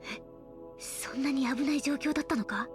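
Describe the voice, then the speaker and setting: Actress doing grumbly sardonic anime lady, highish voice, blunt tomboy talk, music underneath. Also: normal speed, lightly acted. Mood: questioning, dry. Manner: rough masculine phrasing, dry, blunt. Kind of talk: anime audio drama, conversational acting.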